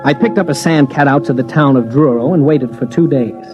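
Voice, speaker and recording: An actor playing a brash, wry news reporter in old-time radio drama, casual and hard-boiled. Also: male voice, mid-range voice, brisk, music in the background, natural voice. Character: brash, cocksure male news reporter narrating his exploits. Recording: muffled old radio recording.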